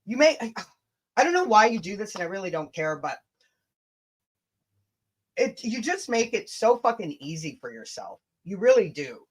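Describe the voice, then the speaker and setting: Casual livestreamer, middle pitch, swears a lot, blunt, stumbles when reading stuff out. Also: very animated, normal speed, pauses often. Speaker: livestream host. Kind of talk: casual livestream chat and reading emails aloud.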